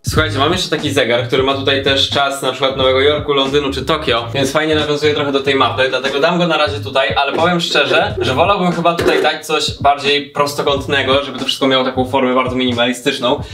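A man's voice: mid-range voice, steady tone, fast, few pauses, over music. A young man in his twenties, chatting upbeat to viewers as he makes over a corner of his room, recorded on a camera mic. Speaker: vlogger. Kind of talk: casual room makeover vlog. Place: bright bare room, indoors. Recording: echoey empty room.

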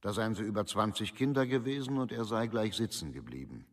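Radio play official, man, lowish voice, impersonal scripted reading, long reverb. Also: lively intonation. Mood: detached, formal. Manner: detached, formal, deliberate quote marking. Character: formal official reading out an interrogation record.

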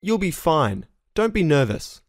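A man reading short English reply lines slowly and clearly, repeating each phrase for learners. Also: a little high, sing-song, no room sound, clean studio sound. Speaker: dialogue reader for English learners. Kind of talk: slow scripted phrase repetition.

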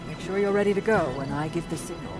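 A woman's voice: lowish voice, fast, loud music behind the voice, natural voice. An actress playing a brilliant, aloof older woman scientist, speaking in a precise, cool, lecturing way in a game cutscene. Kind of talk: video game cutscene acting.

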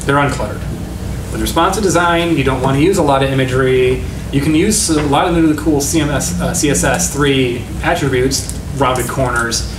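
A man's voice: mid-range voice, moderate pace. A middle-aged man giving a casual talk at a meetup, often correcting himself as he goes. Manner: casual, self-correcting, enthusiastic about design. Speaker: meetup speaker. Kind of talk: conference talk with slides. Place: meeting room with projection screen. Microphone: room recording from a far camera. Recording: echoey room from far off, noisy.

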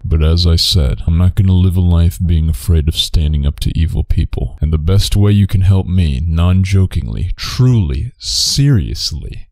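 Man in a deep, gravelly put-on voice. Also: expressive, darkish tone, dry recording. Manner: deliberately lowered, gravelly voice. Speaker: online creator. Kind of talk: dramatic video monologue.

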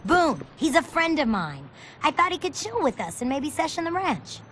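A woman's voice: sits high, big pitch swings, moderate pace, fairly bright tone, a little hiss or hum. A woman playing a spunky, friendly young skater girl in a video game, sassy and slangy. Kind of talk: video game cutscene acting.

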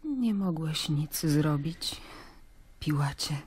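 An actress playing a reflective Roman governor's wife in an audio drama, hushed, measured and breathy. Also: female voice, deep voice, lively intonation, heavily acted. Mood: hushed, resigned. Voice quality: breathy, half-whispered. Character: Claudia, Pilate's calm, reflective Roman wife.

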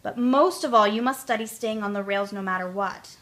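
Woman reading an old train engineer, firm teacher, bright tone, emphatic. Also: mid-range voice, fairly quick, natural voice. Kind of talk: storybook reading.

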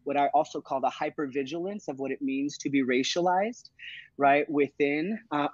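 A man's voice: fairly high pitch, no echo. A man in his thirties, a program director, answering interview questions earnestly over a video call, often checking in with "right?". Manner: earnest, thoughtful, frequent "uh" and "right?". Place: home room with plants. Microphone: video call, earbuds. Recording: dull, dry video-call audio.

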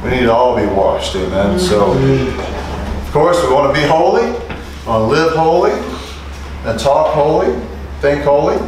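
Animated preacher in an echoey room. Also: male voice, mid-range voice, measured pace, distant mic, a little hiss or hum. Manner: animated, calls for amens, asks the room questions. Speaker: preacher teaching street preachers. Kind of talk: lively interactive sermon. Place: small indoor meeting room. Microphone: lapel mic.

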